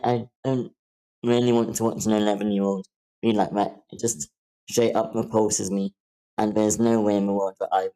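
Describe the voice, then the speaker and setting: Dark-toned man, blunt and deliberate. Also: lowish voice, poor audio. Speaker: table-read participant giving script feedback. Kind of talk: frank spoken critique. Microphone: online meeting call.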